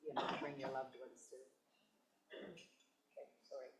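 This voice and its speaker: Hesitant deep-voiced woman, roomy echo. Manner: hesitant and apologetic, halting phrases. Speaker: court worker on a panel. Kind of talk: informal remarks at a dialogue session.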